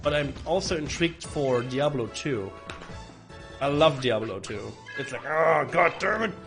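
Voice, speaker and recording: A man with a hoarse, rough voice from bronchitis, chatting casually and rambling to stream viewers while playing a game. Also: a little high, animated, unhurried, a bit bright. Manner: rambling, chatty, swearing, with frequent restarts. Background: faint game music.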